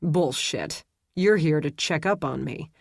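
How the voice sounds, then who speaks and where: A woman's voice: low voice, measured pace, creaky, slightly rough, no echo, studio quality, exaggerated voice. A woman voicing a teasing, easygoing man. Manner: joking and relaxed.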